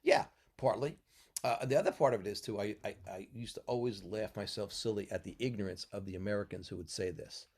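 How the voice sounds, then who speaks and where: A man from New York with a low voice holds forth in a relaxed podcast conversation. He explains at length like a teacher and keeps tagging his points with "okay?". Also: a little fast. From New York, US Northeast. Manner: lecturing, tags points with "okay?". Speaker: podcast guest, mentor. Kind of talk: casual chat.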